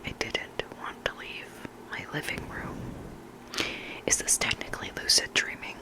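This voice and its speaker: Whispered creepy ASMR storytelling, close to the mic. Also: unhurried. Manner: whispering throughout, sometimes repeating words. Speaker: ASMR reader of listener stories. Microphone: close ASMR microphone.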